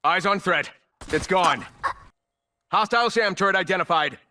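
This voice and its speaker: An actor playing a terse, cold special forces operator in a military shooter game, clipped and businesslike. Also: male voice, sits high, moderate pace, sharp tone. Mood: urgent, focused. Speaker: video game operator character.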